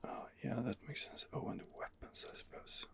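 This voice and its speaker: A man quietly narrating his role-play game in a breathy ASMR whisper, musing and rambling hesitantly, close to the microphone. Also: fairly quick. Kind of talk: ASMR gaming commentary.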